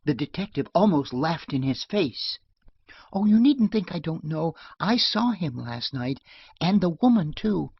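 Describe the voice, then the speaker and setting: Guy putting on a young woman, bitter and defiant, higher voice, dry audio. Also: very animated, measured pace, vocal fry, breathy edge, dry recording, highs cut off, heavily acted.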